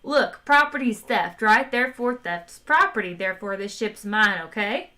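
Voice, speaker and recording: A woman's voice: mid-range voice, lively intonation, normal speed, sharp tone. A woman doing a brash, boastful spaceman. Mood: brash excited. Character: brash, excitable male adventurer.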